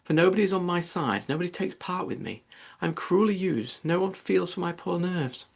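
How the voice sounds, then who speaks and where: Audiobook mother, played by a man: middle-aged, fretful, self-pitying, raised pitch, agitated and melodramatic. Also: expressive, fairly quick, gravelly, breathy edge, heavily acted. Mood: melancholy, self-pitying.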